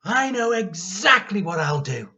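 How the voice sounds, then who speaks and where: A man voicing a mischievous, defiant eight-year-old boy in a children's storybook, with excited, emphatic delivery. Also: sits high, a little slow, highs cut off, voice pushed up, exaggerated voice. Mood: gleeful, scheming. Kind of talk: dramatised children's storybook reading.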